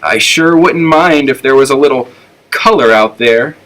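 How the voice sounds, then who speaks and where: Man reading as a plucky, bright-voiced young girl pig. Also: mid-range voice, moderate pace, voice pushed up, natural voice, poor audio. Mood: cheerful, hopeful. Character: plucky young female pig, earnest and chatty. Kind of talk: read-aloud children's storybook.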